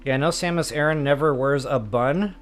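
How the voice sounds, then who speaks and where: A man's voice: a little high, fairly quick, faint music behind. A man hosting a game stream, chatting casually to viewers in an informal, self-deprecating, rambling way. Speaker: host of a video game walkthrough stream.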